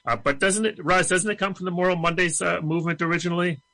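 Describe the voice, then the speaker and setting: Bright, crisp male radio host. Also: high-pitched, fairly even intonation, dry recording. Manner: brisk announcer delivery, plain and direct. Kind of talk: scripted show narration and interviewing.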